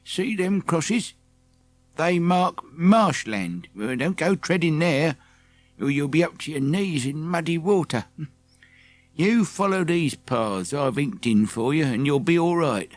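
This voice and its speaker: A man's voice: a little high, expressive, takes it slowly, no echo, soft background music, voice pushed up. A man voicing a blunt but helpful old village shopkeeper with country phrasing in a children's audiobook. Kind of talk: children's audiobook character acting.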